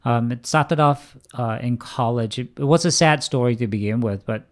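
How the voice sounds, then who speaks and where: A man's voice: medium pitch, moderate pace. A man in his forties from Singapore, talking conversationally as a venture capitalist guest in an interview. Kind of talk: conversational personal storytelling.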